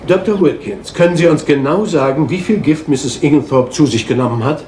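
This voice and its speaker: A man's voice: fairly high pitch, brisk, darkish tone, a little hiss or hum, natural voice. Man playing a formal, probing inquest official. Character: formal male official questioning witnesses at an inquest. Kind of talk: German detective radio drama acting.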